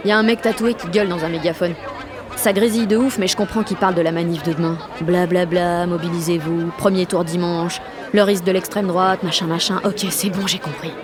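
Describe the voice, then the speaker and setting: A woman's voice: on the low side, fairly even intonation, fairly quick, crowd noise, natural voice. An actress playing a sullen sixteen-year-old French village girl, narrating an audio drama in blunt, sardonic slang full of swearing. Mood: detached, sardonic. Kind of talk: first-person audio fiction narration in teen slang.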